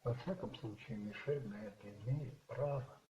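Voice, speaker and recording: A man narrating a radio play as dramatic literary storytelling, musing and rhetorical, with dramatic pauses. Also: medium pitch. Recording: roomy, slightly muffled, with music behind.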